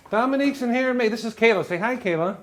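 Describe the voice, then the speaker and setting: A man hosting a talk show, chatting in a halting way and often repeating words as he tells stories. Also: very high-pitched, fairly bright tone, slight background noise. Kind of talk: comedic monologue and show hosting to an audience.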